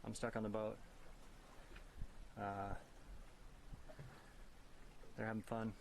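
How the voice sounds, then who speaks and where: A man's voice: lowish voice, completely flat delivery, slow pace, vocal fry. A man hosting a sailing vlog, talking casually and plainly in an informal way. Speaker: host of a sailing travel series. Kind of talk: casual vlog talk and narration. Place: on a sailboat. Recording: noisy on-location boat recording.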